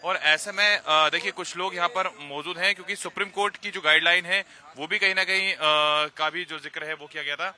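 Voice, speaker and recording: Forceful, very bright male news reporter. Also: high voice, fairly even intonation, a little fast, few pauses. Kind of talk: live on-location news report.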